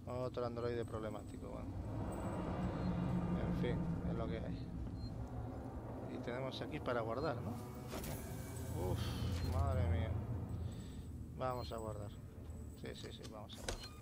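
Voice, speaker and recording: A man's voice: medium pitch, fairly even intonation, unhurried, vocal fry, long pauses. A man with an accent from Spain giving casual, colloquial, mildly sweary commentary as he plays a video game. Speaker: let's play host. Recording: game ambience and machinery noise behind. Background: video game ambience.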